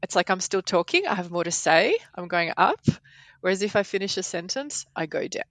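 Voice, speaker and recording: Woman from poland, 40s, bit of fry in her voice, explains stuff slowly w examples, close mic. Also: fairly low pitch, expressive, fairly bright tone, dry recording. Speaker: podcast editor and language teacher. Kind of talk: casual interview chat.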